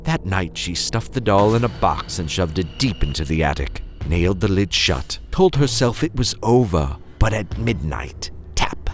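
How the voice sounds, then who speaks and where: A man hosting and narrating a horror story in a theatrical, suspenseful style, with playful asides and dramatic pauses. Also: sits low, sing-song, slight background noise.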